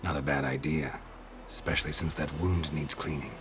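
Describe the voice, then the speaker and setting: An actor playing a stoic, gruff monster hunter in a video game, terse and deadpan with a gravelly voice. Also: male voice, moderate pace, background noise. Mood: grim, matter-of-fact. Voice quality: deep, gravelly voice. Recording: loud music behind.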